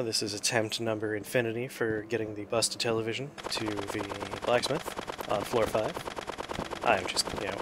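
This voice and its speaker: A man's voice: fairly low pitch, noisy background. A man hosting a casual let's-play, talking in a playful, self-deprecating way. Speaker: show host, gamer. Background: music and game gunfire.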